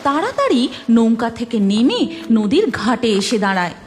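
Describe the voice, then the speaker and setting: A woman's voice: fairly high pitch, very animated, moderate pace, a little hiss or hum. A woman with a Bengali accent giving scripted narration of a story.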